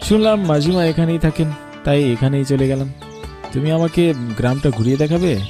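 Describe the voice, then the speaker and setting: A man narrating a cartoon and voicing a polite young village man, gentle in manner. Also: fairly high pitch, normal speed, music in the background, close to an everyday voice.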